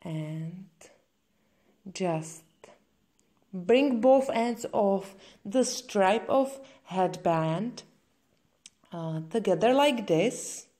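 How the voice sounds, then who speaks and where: A woman's voice: medium pitch, mellow. A woman with a Czech accent, a native Czech speaker, giving step-by-step craft instructions in simple, slightly hesitant non-native phrasing. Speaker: crochet tutorial host. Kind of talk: spoken step-by-step craft tutorial.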